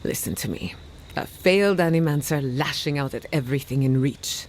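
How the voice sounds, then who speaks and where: An actress playing an earnest, burdened but resolute woman scholar in a fantasy video game, speaking in a measured, reflective way. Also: low voice, a little hiss or hum, close to an everyday voice. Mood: bitter, burdened. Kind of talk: dramatic video game dialogue.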